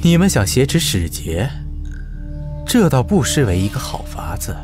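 A man playing a shrewd, wry steward of an ancient household in a costume audio drama, speaking in a measured, theatrical way. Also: medium pitch, big pitch swings, music in the background, natural voice. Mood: wry, probing.

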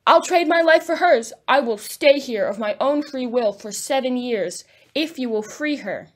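A woman's voice: high-pitched, lively intonation, moderate pace. A woman playing a fairy mimicking an accusing fifteen-year-old, earnest and declamatory in an audio drama. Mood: accusing, defiant.

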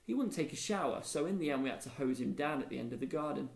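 A man's voice: medium pitch, expressive, fast, vocal fry. A man voicing a friendly, greedy schoolboy in a storybook, chatty and matter-of-fact. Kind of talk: storybook character dialogue. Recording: echoey room.

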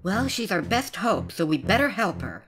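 A woman's voice: mid-range voice, very animated, moderate pace, music in the background. A woman voicing a sensible, skeptical fairy companion with a dry, matter-of-fact manner in a game parody. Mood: dryly skeptical. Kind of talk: fan-dub game parody acting.

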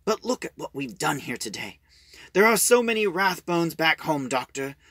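A man's voice: a little high, sing-song, moderate pace, pushed and bright, dry recording, heavily acted. A man voicing a weary, principled old adventurer in an audio drama retelling, earnest and declamatory. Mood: regretful.

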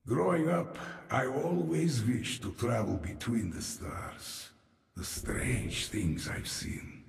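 An actor playing a brooding half-man, half-alien admiral in a video game, with a Russian accent and a gravelly, formal, grave delivery. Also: mid-range voice, sing-song, slow, echoey room, long reverb tail, recorded from a distance, full character voice. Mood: wistful. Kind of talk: dramatic video game acting.

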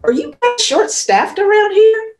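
A woman's voice: very high voice, very animated, a little slow, a little mellow, exaggerated voice. A woman playing a frantic young woman, urgent and alarmed for her sister, at a dramatic script table read. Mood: insistent.

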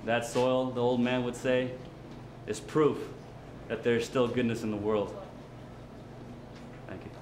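A man giving a dramatic reading of a somber monologue in a halting, measured way. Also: medium pitch, little pitch movement. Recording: echoey room with faint noise.

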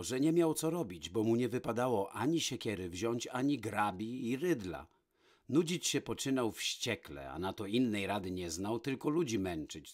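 Low-voiced man reading a fairy tale. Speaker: storyteller, narrator. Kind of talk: scripted fairy-tale narration, read aloud.

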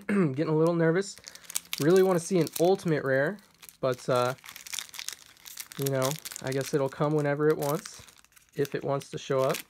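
A man casually narrating a card pack opening off camera, reading out card names, a little hoarse. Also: on the high side, a little hiss or hum. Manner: relaxed, reads card names off one by one. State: slightly hoarse, clearing throat. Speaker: card collector opening packs. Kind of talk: casual unboxing commentary. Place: indoors at a table.

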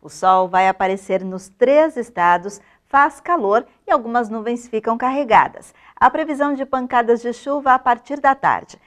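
A woman presents the regional weather forecast in a studio, reading from a script. Her voice is slightly high and her delivery smooth and practiced, picked up by a lapel mic. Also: lively intonation, normal speed, few pauses. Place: weather studio in front of a map.

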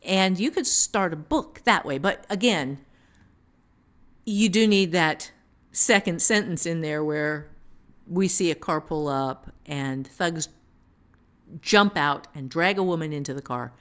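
Slow, halting woman teaching. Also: sits low, fairly bright tone. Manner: halting, thinking aloud. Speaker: writing teacher, author. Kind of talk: unscripted podcast teaching talk.

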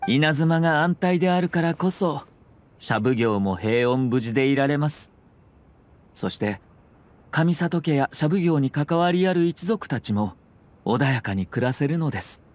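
A man playing a courteous, shrewd young clan lord in an anime video game, speaking in polite, measured, formal phrases. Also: a little high, expressive. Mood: calm, shrewd.

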